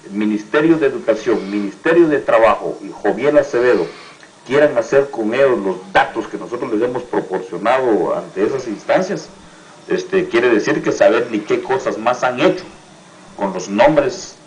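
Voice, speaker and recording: A man with a Guatemalan accent, giving political commentary as a union representative, often halting and repeating words. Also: fairly low pitch, animated, slight background noise, rough recording. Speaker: teachers' union representative, interview guest.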